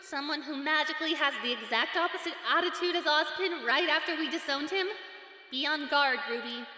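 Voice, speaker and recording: Woman voicing a high, bright young heroine in echo. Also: a little fast. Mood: wary, skeptical. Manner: chatty, wry. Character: young heroine's chatty, wary, sarcastic inner voice. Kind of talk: character voice-over commentary on cartoon clips. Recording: echoey hall reverb, music behind.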